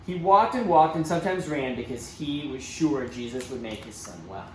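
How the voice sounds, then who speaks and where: A man's voice: a little high, expressive, normal speed, a little mellow. A man reading a storybook aloud to children, expressively, with dramatic emphasis and asides to his young listeners. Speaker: church children's storybook reader. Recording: roomy echo, faint background noise.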